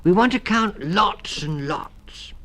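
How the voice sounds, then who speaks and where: A man voicing a small, excitable mouse child who pleads eagerly, in a storybook reading on a 1960s record. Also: high-pitched, slow pace, pitched above the performer's own voice, heavily acted. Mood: eager pleading.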